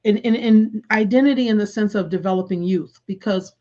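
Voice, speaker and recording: A woman's voice: medium pitch, brisk, dark tone. Earnest woman educator on dull audio. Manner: earnest and reflective, with many restarts. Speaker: educator and author guest. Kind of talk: casual conversational interview.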